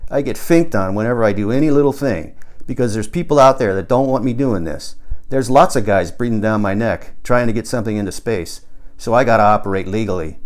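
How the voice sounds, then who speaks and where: A man's voice: fairly low pitch, fairly quick, darkish tone, natural voice. A man voicing a brash, boastful, obsessive amateur rocket builder in an audiobook, in plain colloquial speech. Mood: defiant boasting.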